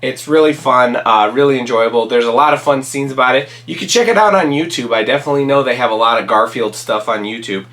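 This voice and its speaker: A man with a cold-roughened, raspy voice chats casually as host of his own channel. He rambles, restarts his sentences and fills pauses with ums as he walks through his favorite movies. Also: mid-range voice, fairly bright tone. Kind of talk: casual solo commentary.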